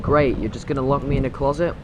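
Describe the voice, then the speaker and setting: A man's voice: medium pitch, fairly even intonation, fast talker, background noise, lightly acted. A man playing a cocky, short-tempered teenage boy who talks in sarcastic slang, in an amateur radio drama. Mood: sarcastic, annoyed.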